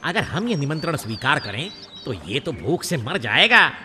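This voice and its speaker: A man voicing a clever, courteous heron who leads his flock in a children's animated story. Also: on the high side, normal speed. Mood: shrewd, considering. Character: clever, polite male heron flock leader. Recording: long reverb, music behind.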